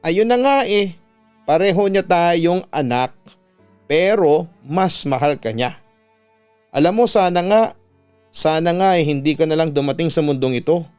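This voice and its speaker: Filipino guy doing a jealous big sister, snappy and accusing, pitched up, radio story with music under it. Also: expressive, measured pace, long pauses, darkish tone, smooth. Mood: hurt, lashing out. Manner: irritated, accusing, emphatic. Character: resentful, jealous young older sister, bitter and sharp-tongued.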